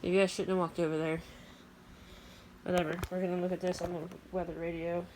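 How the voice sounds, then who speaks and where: A woman's voice: lowish voice, flat delivery, a little fast. A woman giving casual, matter-of-fact, rambling commentary to a phone at home, half muttering to herself. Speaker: amateur weather radio vlogger. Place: room at home at night. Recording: faint background noise, handheld home recording.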